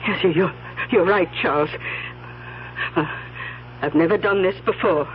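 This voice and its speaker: An actress playing a flustered, suspicious older woman who hoards her money, fretful and halting in an old radio mystery. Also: on the low side, lightly acted. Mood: anxious. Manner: fretful, halting, repeating herself. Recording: dull, noisy old radio broadcast.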